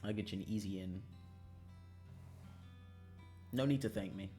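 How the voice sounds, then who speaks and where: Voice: low voice, quick pace, mellow, faint music behind. A streamer chatting casually on a livestream in a joking, self-mocking, dramatic way, swearing freely.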